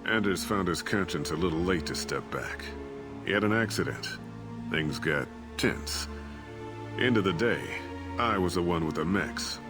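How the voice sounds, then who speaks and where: A middle-aged man playing a disgraced, evasive ship captain and father in a video game, talking defensively and justifying himself. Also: low voice. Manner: defensive, rationalizing. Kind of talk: dramatic video game voice acting. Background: cinematic background music.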